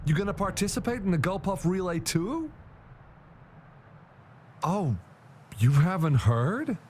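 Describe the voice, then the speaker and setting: Young male athlete for a game: high voice, animated, unhurried pace, outspoken, faint ambience behind. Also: slight background noise. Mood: doubtful, curious. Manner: casual, outspoken. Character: excitable young male relay racer. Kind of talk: video game voice acting.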